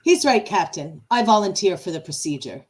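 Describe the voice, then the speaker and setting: A woman's voice: on the low side, expressive, moderate pace, darkish tone, natural voice. A woman playing a calculating male starship officer in an amateur podcast radio play, with theatrical delivery. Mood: earnest. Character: male starship first officer, smooth, calculating military man.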